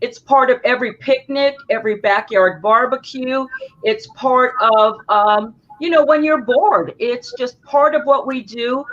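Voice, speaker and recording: A woman's voice: fairly high pitch, measured pace. A woman who teaches dance, telling stories with animation as an interview guest. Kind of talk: casual interview talk. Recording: dull lo-fi sound.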